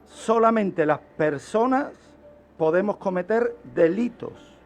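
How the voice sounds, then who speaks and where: A man's voice: high-pitched, lively intonation, slow pace, soft background music. A man with an accent from Spain explaining as a guest expert on a radio show, asking questions and answering them himself. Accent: Spanish, from Spain. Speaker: criminologist guest.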